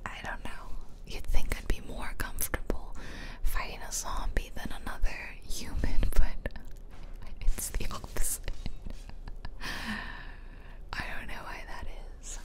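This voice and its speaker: A woman whispering casual, musing ASMR commentary close to the microphone as she plays a game. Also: measured pace. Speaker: game streamer. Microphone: close microphone, ear-to-ear whispering.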